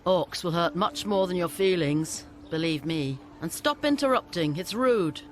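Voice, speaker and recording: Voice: medium pitch, expressive, measured pace, slight background noise, lightly acted. An actor playing a shrewd, condescending scavenger boss making deals in a video game. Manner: condescending, businesslike. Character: brisk, shrewd scavenger boss, sardonic dealmaker.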